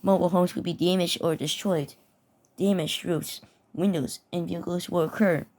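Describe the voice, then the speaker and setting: Woman reading storm warnings, lowish voice, trips over words and repeats herself a lot. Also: fairly even intonation, darkish tone. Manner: halting, stumbles and repeats words. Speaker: mock emergency alert narrator. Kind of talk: reading mock weather warnings with commentary.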